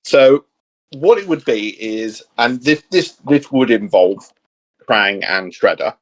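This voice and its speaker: A man co-hosting a geek show, chatting casually and piecing his ideas together haltingly as he goes. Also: mid-range voice, animated, low-quality recording. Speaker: co-host of a geek discussion show. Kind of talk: casual chat, pitching ideas.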